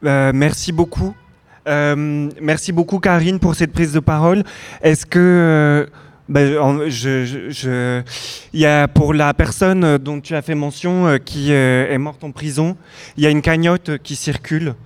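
A man's voice: fairly high pitch, clear, resonant tone. A man moderating a public meeting, thanking speakers and handing over with many hesitations. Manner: halting, with many fillers. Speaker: event moderator.

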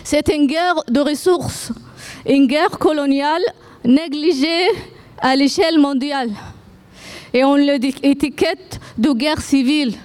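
A woman's voice: sits high, a little mellow. A woman from Sudan with an Arabic accent, speaking hesitantly at a public gathering as an association representative. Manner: hesitant, halting, many fillers and restarts.

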